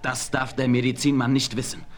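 A brave, proud young chief from a western radio play played by a male actor. He has a medium-pitched voice and declaims solemnly, in stately, formal phrases. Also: level tone, brisk, close to an everyday voice. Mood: grave.

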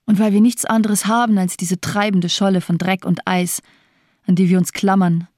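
A woman's voice: medium pitch, little pitch movement, normal speed, a little mellow, no echo, studio quality, close to an everyday voice. An actress playing a cold, bitterly nihilistic female doctor in a German radio crime drama, speaking in bleak, disdainful declamation. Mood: bleak, impassioned.

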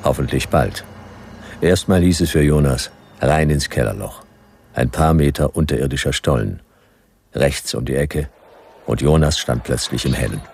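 Hard-boiled radio detective narration, deadpan, gravelly and extremely low. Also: male voice, normal speed, pauses often, slight background noise. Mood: terse. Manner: terse, dry, deadpan. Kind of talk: noir radio drama narration.

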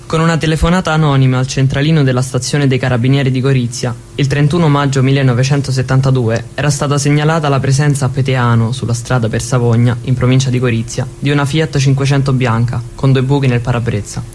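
A male narrator delivers scripted documentary text in a mid-pitched voice. The reading is formal and factual, with faint noise and hum behind it. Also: fairly even intonation, fast, hardly any pauses, darkish tone.

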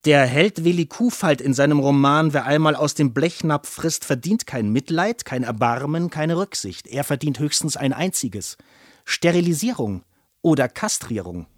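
An actor playing a merciless Nazi-era newspaper reviewer in a radio play, denouncing in cold, clipped phrases. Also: male voice, fairly high pitch, expressive, moderate pace, dry recording, clean studio sound. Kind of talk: radio play, read press denunciation.

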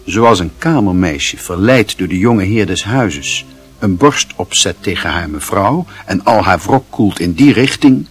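A man narrating a literary radio play in a measured, formal and wry manner, with a husky voice. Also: low-pitched.

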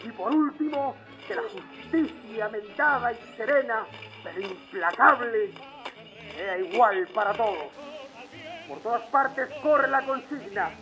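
An actor playing a solemn, defiant Spanish Republican orator giving a declamatory 1930s radio speech. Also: male voice, very high voice, a little slow, bright, forceful tone, exaggerated voice, low-quality recording. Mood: rousing, defiant. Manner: declamatory, rhetorical. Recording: band-limited old radio sound with music.

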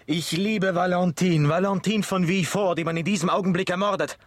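An actor playing a desperate, pleading young man in love in a radio drama, with a husky voice. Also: sits high, a little fast, no room sound, close to an everyday voice. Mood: anguished.

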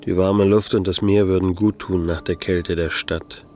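A man's voice: low-pitched, steady tone, normal speed, background noise, close to an everyday voice. An actor playing a disillusioned, wry male private detective in a noir radio drama, speaking in a dry, laconic manner. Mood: wry, reflective. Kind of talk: noir radio drama, first-person narration.

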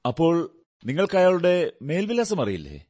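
A man acting in a radio drama as a shrewd, methodical detective who asks questions in a measured, deliberate way. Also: fairly high pitch, wildly swooping pitch, darkish tone, breathy edge, exaggerated voice. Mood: probing, composed. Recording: radio drama with background music. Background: music.

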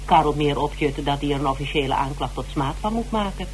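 An actress from a radio drama playing a bossy, teasing amateur detective, speaking Netherlands Dutch in a pushy, bantering way. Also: female voice, low voice, expressive, fast talker, darkish tone. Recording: noisy, humming radio broadcast recording.

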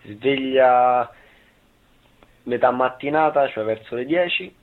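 A man's voice: medium pitch, slow. A man in his early twenties giving brief, informal answers in an interview. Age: 20s. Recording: thin phone-like sound.

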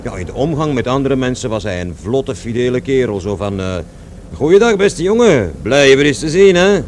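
An actor playing a disgruntled working-class man with a mid-pitched voice, wry and self-deprecating. He rambles hesitantly in radio-play conversation, with clear traffic rumble behind him. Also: very animated, normal speed, close to an everyday voice. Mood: reflective.

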